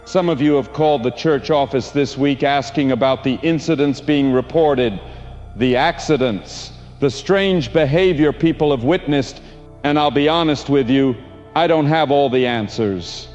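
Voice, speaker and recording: A man's voice: medium pitch, unhurried, darkish tone, natural voice. A man playing an earnest, reassuring church pastor delivering a sermon. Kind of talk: sermon acting. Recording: reverberant dull church sound, faint music.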